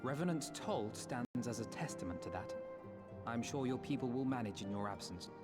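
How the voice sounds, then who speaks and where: An earnest, courteous young noble diplomat, played by a man from a fantasy video game. His medium-pitched voice carries formal, old-fashioned phrases. Music plays clearly behind him. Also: lively intonation, moderate pace, close to an everyday voice. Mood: warm, reassuring.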